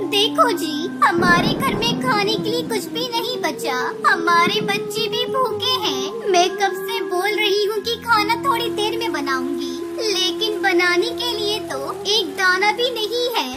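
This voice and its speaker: Very high-pitched, pleading mother bird voiced by a woman. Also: measured pace, hardly any pauses, loud music behind the voice. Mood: worried. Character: poor anxious mother bird, pleading wife. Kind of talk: Hindi cartoon moral-story dialogue.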